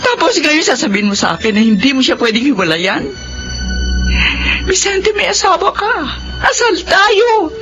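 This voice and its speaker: A woman's voice: high-pitched, sing-song, moderate pace. A woman playing a middle-aged wife and mother who confronts her unfaithful husband in a radio drama. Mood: anguished. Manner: pleading, tearful confrontation. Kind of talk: Filipino radio drama acting. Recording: dull lo-fi radio audio with music.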